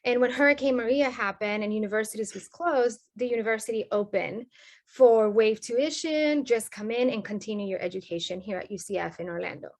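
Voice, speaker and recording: A woman's voice: fairly high pitch, brisk. A woman from Colombia with a Colombian Spanish accent, a sociology professor answering interview questions in an earnest, explanatory way.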